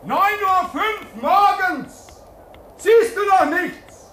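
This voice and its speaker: Yearning, impatient very young man: emotional radio play, extremely high voice, slow delivery. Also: slight background noise, full character voice. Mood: eager. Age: young adult. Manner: excitable, plaintive. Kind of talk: theatrical German radio play acting.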